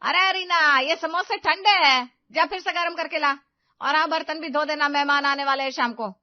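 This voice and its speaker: A woman's voice: high voice, normal speed, no room sound, muffled recording, pitched above the performer's own voice, close to an everyday voice. A woman voicing a harsh, nagging older mother-in-law in an audio story, bossy and contemptuous as she scolds. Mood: angry, scolding. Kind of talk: Hindi audio story character acting.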